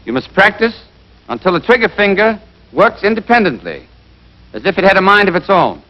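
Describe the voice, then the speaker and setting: A man playing a brisk, exacting American army rifle instructor in a scripted 1940s training film, drilling and quizzing with emphasis. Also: high-pitched, big pitch swings. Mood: brisk, instructive. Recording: dull band-limited 1940s film soundtrack.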